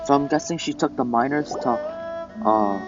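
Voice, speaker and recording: A man giving casual, halting, deadpan commentary while playing a video game. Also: mid-range voice. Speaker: gamer reacting while playing. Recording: muffled sound with game music.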